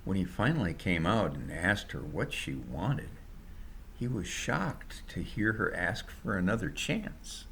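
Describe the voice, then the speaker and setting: A man's voice: deep voice, sing-song, measured pace, gravelly, a bit bright. A man reading his own written story aloud as a scripted narration. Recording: noticeable background noise.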